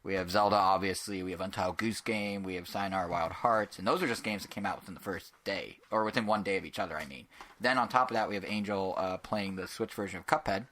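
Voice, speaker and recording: A young man hosting a casual gaming podcast, with a nasal voice and a quick, rambling, joking way of talking. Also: low voice, a bit bright. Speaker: podcast host.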